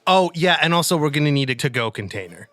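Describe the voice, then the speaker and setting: A man's voice: a little high, big pitch swings, fast, a bit bright, no echo, faint music behind. A man playing a tabletop role-playing game, breezily narrating and acting out his character in a playful, casual, improvised way. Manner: breezy, playful, acts out dialogue in first person.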